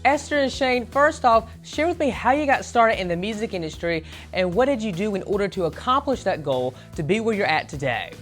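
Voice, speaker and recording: A man's voice: high-pitched, very animated, a little fast, hardly any pauses, fairly bright tone. A man with a Southern American accent, hosting the interview in a bubbly, chatty way. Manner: bubbly, uses y'all. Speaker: entertainment interviewer. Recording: music under the voice.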